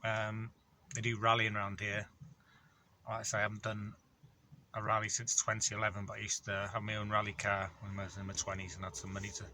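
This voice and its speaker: A man in his forties talks casually about his courier day, rambling along with plenty of ums. His voice sits a little low, yet the tone comes out bright and forceful. A faint hum of ambience is heard behind him. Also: monotone, a little fast. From North Wales. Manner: rambling, matter-of-fact storytelling with many ums. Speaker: van courier vlogger. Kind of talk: casual vlog chat. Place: in or beside his van on an industrial estate.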